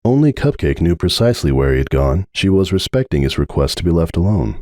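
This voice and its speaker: A man's voice: sits low, animated, a little fast, darkish tone, no room sound. A man reading a story aloud as a narrator in a dramatic audiobook style, close on the mic.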